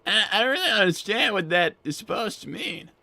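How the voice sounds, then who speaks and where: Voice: mid-range voice, sing-song, brisk, vocal fry, bright, forceful tone, full character voice. A performer voicing a nervous, awkward young boy fox who stammers and rambles earnestly in improvised cartoon roleplay.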